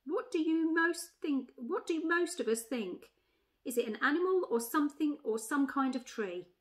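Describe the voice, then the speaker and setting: A woman voicing a genteel, thoughtful female elephant in a storybook, mild and deliberate in manner. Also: sits high, lively intonation, voice pushed up. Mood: decisive. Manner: mild, deliberate, primly self-satisfied. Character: genteel, thoughtful she-elephant, proud of her trunk.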